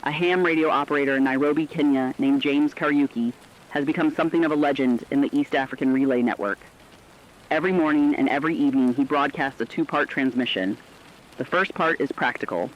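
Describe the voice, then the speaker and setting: A man playing an earnest radio correspondent, giving sombre, deliberate scripted commentary on a disaster. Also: medium pitch, close to an everyday voice. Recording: dull lo-fi radio-style sound.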